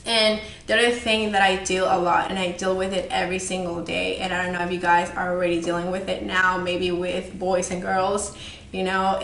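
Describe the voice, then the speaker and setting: A woman's voice: fairly low pitch, a bit bright, roomy sound, a little hiss or hum, low-quality recording. A woman in her twenties from El Salvador, speaking candidly and earnestly to the audience about her own experiences, with many fillers. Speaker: model sharing her story. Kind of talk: personal talk to camera.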